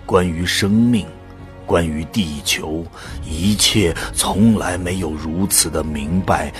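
A man playing a brooding volunteer who narrates his own story in a measured, solemn audio drama style. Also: sits low. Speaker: narrator and lead actor. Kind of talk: scripted first-person drama narration. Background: music.

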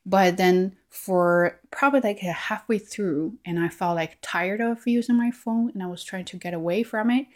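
A woman's voice: medium pitch, normal speed, hardly any pauses. Earnest Chinese-accented woman vlogging. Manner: earnest, frequent "like" and "right?", restarts. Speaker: language learning vlogger. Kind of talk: casual reflective monologue.

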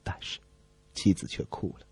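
A man's voice: normal speed, darkish tone, dry recording. A man co-hosting a comedy radio show, telling jokes in a conversational way.

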